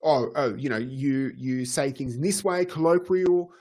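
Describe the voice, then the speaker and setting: A middle-aged man co-hosting a casual podcast, talking in an easygoing, agreeable way with quick bursts. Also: medium pitch, a little mellow. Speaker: podcast co-host. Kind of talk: casual chat.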